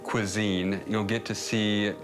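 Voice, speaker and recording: A man's voice: lowish voice, level tone, slow. Easygoing American man in his thirties. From United States. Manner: easygoing, enthusiastic, with small restarts. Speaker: documentary subject, immigrant. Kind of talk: documentary voice-over and casual chat. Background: music.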